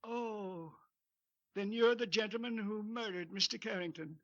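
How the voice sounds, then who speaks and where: An older actor playing a loyal, deferential old butler who speaks formally in a 1940s radio drama. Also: male voice, high-pitched, measured pace, fairly bright tone, highs cut off. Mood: polite. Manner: polite, formal, says sir often.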